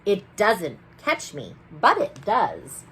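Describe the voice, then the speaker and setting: A woman's voice: medium pitch, huge pitch swings, takes it slowly, a bit bright, slight background noise, heavily acted, low-quality recording. Sneaky, theatrical tooth fairy played by a woman, swooping delivery. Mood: gleeful, boastful. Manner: mischievous, theatrical. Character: sneaky, gleeful, boastful female tooth fairy narrating in rhyme. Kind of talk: rhyming storybook read-aloud.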